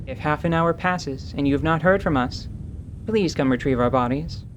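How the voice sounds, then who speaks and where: A woman plays a female mercenary leader in a fantasy radio drama. She is deliberate and commanding, with dry, dark humor delivered deadpan. Noticeable noise sits behind the voice. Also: low-pitched, natural voice. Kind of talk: radio theatre character acting.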